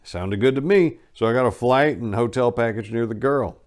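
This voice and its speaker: A man's voice: medium pitch, a little fast, a little mellow. A man giving a dramatic first-person audiobook reading in a casual, conversational storytelling manner. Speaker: narrator reading a book.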